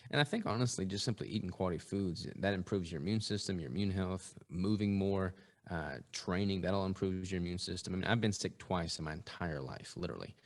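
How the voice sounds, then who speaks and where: A man's voice: deep voice, brisk. A man speaking conversationally as a guest in a podcast interview.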